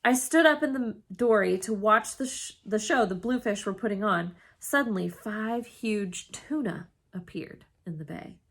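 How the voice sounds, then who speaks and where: A woman reading a children's storybook aloud in an expressive, dramatic way, with emphatic exclamations and hushed suspense. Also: medium pitch, a little slow, hardly any pauses, slightly rough. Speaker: storybook reader.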